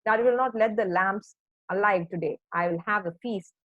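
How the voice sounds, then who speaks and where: A woman's voice: medium pitch, moderate pace, no echo, phone-quality sound, close to an everyday voice. A woman voicing a greedy male storybook wolf who mutters and gloats to himself. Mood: scheming.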